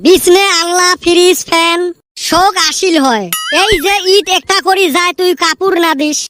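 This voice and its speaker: Woman voicing a very high, cheeky cartoon youngster. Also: normal speed, background noise. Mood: nagging. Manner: sharp-tongued, complaining, teasing. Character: cheeky nagging youngster demanding comforts and marriage. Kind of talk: comic cartoon dialogue.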